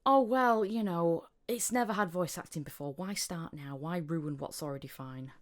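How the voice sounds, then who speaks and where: Low-voiced woman hosting a casual chat. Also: moderate pace. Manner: chatty, repeats phrases for emphasis. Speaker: podcast host, voice actor.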